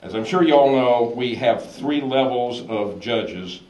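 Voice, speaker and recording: A hoarse man, a state legislator speaking on a panel at a public forum, explaining in earnest detail with frequent restarts. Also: medium pitch, a little slow, a little mellow. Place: church hall forum. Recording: echoey hall, distant mic, faint noise.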